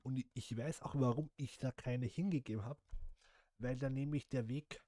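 A man's voice: medium pitch, normal speed, dark tone, no echo. A man, chatting casually to viewers and thinking aloud as he plays a simulation game. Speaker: gaming channel host. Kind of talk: casual gameplay commentary.

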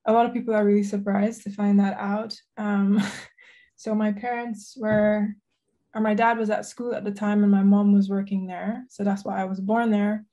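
Woman, gravelly, mellow, flat delivery, video call, relaxed storytelling. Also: mid-range voice, normal speed. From Saint Lucia. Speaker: guest athlete. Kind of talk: casual interview chat. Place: home room, indoors.

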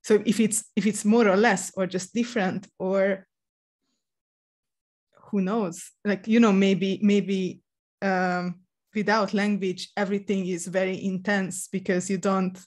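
A woman's voice: mid-range voice, flat delivery, a little slow, darkish tone. A woman in a headset on a video call, thinking aloud about research with many fillers. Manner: thinking aloud, many "you know" and "I don't know". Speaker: podcast co-host. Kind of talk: academic discussion over a video call.

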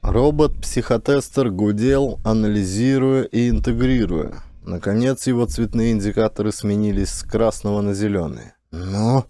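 A man's voice: on the low side, normal speed, few pauses, warm tone, dry recording. A man reading an audiobook as scripted dramatic narration.

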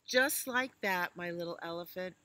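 A woman voicing a gentle mother elephant, patiently teaching her little one in a children's storybook. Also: on the low side, moderate pace, no echo. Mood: patient, instructive. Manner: patient, instructive.

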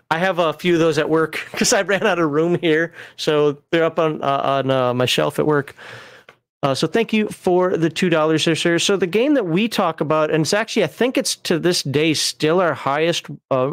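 A man's voice: a little high, normal speed, dry recording, studio quality. A middle-aged man hosting a casual livestream from home over a video call, reading chat messages and laughing often. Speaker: livestream host.

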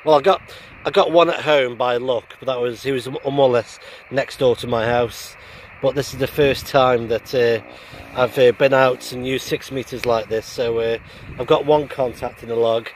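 A man's voice: mid-range voice, fairly even intonation, moderate pace. Middle-aged man talking outdoors, faint noise. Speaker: amateur radio operator and vlogger. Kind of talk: casual outdoor vlog talk. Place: outdoors on open heathland by a road.

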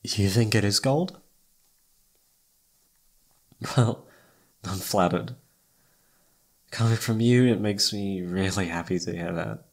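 A man playing a self-doubting, lovestruck best friend in an intimate ASMR roleplay, speaking softly and haltingly with tender hesitation. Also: lowish voice. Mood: flattered, awkward. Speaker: amateur voice actor.

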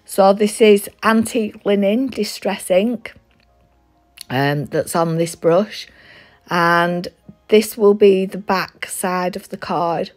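A woman with a head cold and a slightly croaky voice, walking through a craft demonstration step by step with frequent ums. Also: mid-range voice, takes it slowly. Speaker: craft tutorial host. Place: craft desk seen from above.

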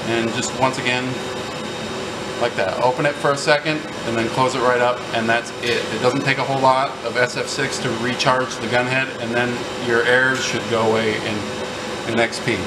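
A man's voice: mid-range voice, steady tone, normal speed. A man in his thirties gives a casual, informal hands-on technical demonstration, explaining each step like a technician. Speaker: technician demonstrating equipment maintenance. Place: indoor lab among large machines. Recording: roomy, distant mic, loud machine hum. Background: air conditioning and machinery noise.

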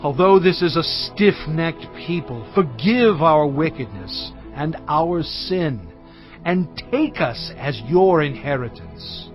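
An actor playing Moses in a dramatized audio Bible, speaking in an earnest, pleading, drawn-out way. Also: male voice, a little high, lively intonation. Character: Moses, pleading humble leader, adult man. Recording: slightly dull audio with music bed.

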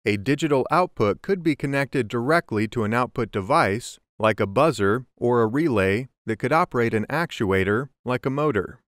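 A man giving scripted instructional narration, explaining methodically and clearly as in a technical tutorial. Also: mid-range voice, lively intonation, moderate pace, hardly any pauses, a bit bright, dry recording, studio quality. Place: close, dry voice-over recording.

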